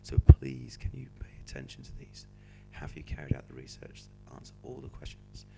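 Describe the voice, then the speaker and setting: A male teacher with a cold, hoarse and croaky, patiently talking students through a homework sheet. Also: rumbling low, sing-song, moderate pace, mellow, slight background noise, low-quality recording. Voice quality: croaky, slips into whispery breaks. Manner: patient, explaining step by step. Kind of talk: homework help walkthrough.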